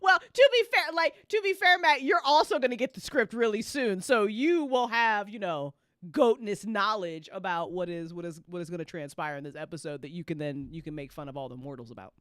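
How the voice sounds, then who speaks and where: A woman's voice: mid-range voice, brisk, few pauses, a bit bright, no echo, clean studio sound. A woman hosting a casual after-show chat, playful and gushing, repeating phrases for emphasis as she questions her guests. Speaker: host and show creator.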